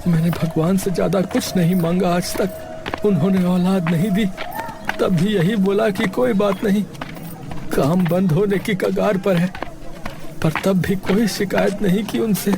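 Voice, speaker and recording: A man's voice: high voice, steady tone, measured pace, vocal fry, over music. A man acting a distraught, stubborn, devoted husband in a dramatized moral story, agitated and stammering over repeated words. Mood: anguished, defiant.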